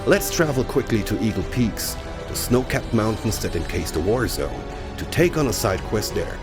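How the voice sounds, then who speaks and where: A man presenting a game showcase in scripted, measured, explanatory promotional narration. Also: on the low side, sing-song. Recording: voice-over with clear music behind.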